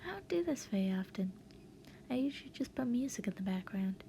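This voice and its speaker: A woman's voice: on the high side, animated, brisk, creaky, dark tone, a little hiss or hum. A woman giving a casual, rambling voice tutorial as a voiceover, with a hesitant, giggly and self-deprecating manner. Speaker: online video creator giving a voice acting tutorial. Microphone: voice-over recorded to accompany a speed paint.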